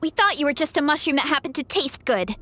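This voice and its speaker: A woman's voice: high-pitched, expressive, fast talker, bright, forceful tone. A woman voicing a determined young heroine in a video game, earnest and resolute. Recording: music behind the voice.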